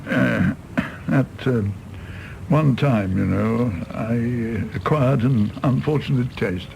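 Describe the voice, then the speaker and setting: Slow, gravelly old English doctor. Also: male voice, medium pitch, darkish tone, a little hiss or hum, poor audio. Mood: wry, weary. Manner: wry, rambling, hesitant. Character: rueful, tippling elderly doctor, wry and self-excusing. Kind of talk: stage play performed as broadcast drama.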